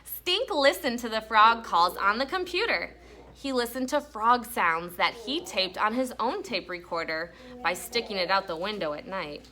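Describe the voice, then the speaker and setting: Bright, animated woman teaching a class. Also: mid-range voice, normal speed. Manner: asks questions, echoes pupils' answers. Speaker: elementary school teacher. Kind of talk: classroom lesson and story read-aloud. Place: indoor classroom with pupils. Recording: faint room noise.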